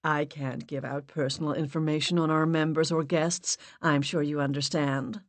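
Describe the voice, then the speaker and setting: A woman voices a polite young man behind a front desk, formal and firm in a low, quiet tone. The reading sounds close and dry. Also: steady tone, moderate pace, no echo, lightly acted. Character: polite young male hotel receptionist.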